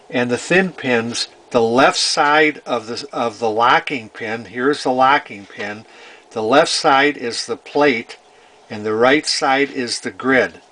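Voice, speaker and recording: A man with a medium-pitched voice explains technical repair work in an informal way. He goes through it methodically, with a halting delivery, often repeating words and phrases as he demonstrates. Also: sharp tone. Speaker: vintage radio repair hobbyist presenting.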